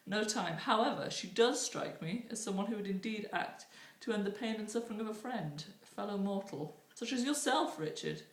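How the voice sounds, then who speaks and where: A woman reading aloud and voicing a gentle, sinister angel who speaks softly and courteously, in a roomy room. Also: mid-range voice, animated, moderate pace, few pauses, voice pushed up, close to an everyday voice. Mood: silky courteous. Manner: soft, courteous, purring menace. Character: gentle, sinister angel, silky and falsely kind. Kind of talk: audiobook reading.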